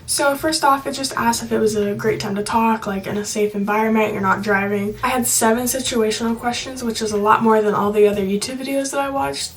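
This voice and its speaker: A woman's voice: mid-range voice, recorded from a distance, rough recording. A young woman talking in a chatty, reassuring, informal way, giving casual advice to viewers. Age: young adult. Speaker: video blogger.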